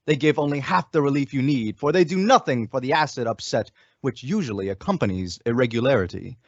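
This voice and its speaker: A man's voice: mid-range voice, lively intonation, a little fast, dry recording. A man reading a scripted old-time radio commercial as an announcer, with an emphatic sales delivery. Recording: dull lo-fi radio recording.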